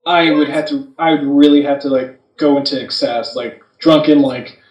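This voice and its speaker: A man's voice: a little high, steady tone, moderate pace. Guy on a podcast, sounds echoey and off the mic, telling stories matter of fact. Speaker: podcast co-host. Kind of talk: casual chat, personal anecdotes. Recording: roomy, distant from microphone.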